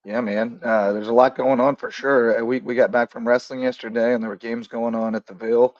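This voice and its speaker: A slightly raspy man with a drawl hosts a relaxed sports chat, easygoing and full of "uh"s. His mid-pitched voice sounds dry and a little dull. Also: fairly quick, no echo. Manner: easygoing, rambling with many fillers. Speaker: podcast host. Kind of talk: casual hoops talk show.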